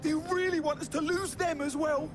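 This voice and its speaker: A man's voice: extremely high pitch, steady tone, a little fast, music in the background. A man playing a desperate, fearful soldier protesting in a video game drama.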